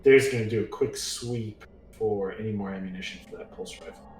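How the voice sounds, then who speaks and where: A man's voice: fairly low pitch, fairly quick. A man playing a tabletop roleplaying game, chatting casually in a breezy, playful way. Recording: roomy echo, distant from mic. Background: soft game soundtrack music.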